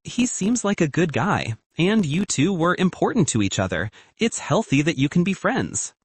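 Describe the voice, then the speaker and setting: A tense young philosophy student from a narrated story, acted by a man. He is dry and defensive, insisting with clipped words. His pitch moves a lot and the sound is close and dry. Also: very animated, moderate pace, breathy edge, no echo. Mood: guarded, defensive.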